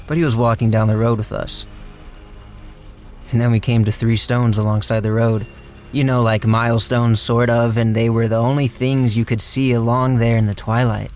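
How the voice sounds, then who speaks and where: A man's voice: mid-range voice, flat delivery, moderate pace, a little mellow, faint music behind, natural voice. An actor playing an easygoing handyman with a folksy, rambling way of telling his shady story in a radio drama. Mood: reflective, plainspoken. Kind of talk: radio drama first-person narration and dialogue.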